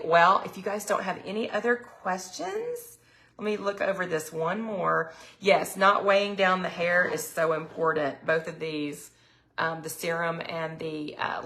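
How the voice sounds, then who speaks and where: Chatty woman, slightly raspy. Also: medium pitch, a bit bright. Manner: chatty, lots of ums, reads viewer comments aloud. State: slightly raspy throat from allergies. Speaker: product consultant hosting a live sales stream. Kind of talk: casual live-stream chat.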